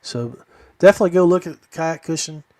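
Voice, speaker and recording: Halting American man, dry close sound. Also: fairly high pitch, warm tone, dry recording. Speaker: podcast co-host. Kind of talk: casual chat.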